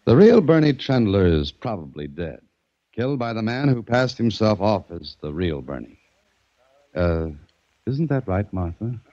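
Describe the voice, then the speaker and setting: An actor playing a calm, self-assured private detective in a 1940s radio drama, with a dry manner. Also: male voice, on the low side, a little slow, no echo. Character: cool private detective Dan Holliday. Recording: dull lo-fi 1940s radio recording.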